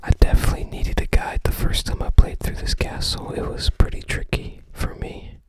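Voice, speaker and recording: An ASMR creator whispering casual commentary in short, hesitant, rambling fragments. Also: normal speed.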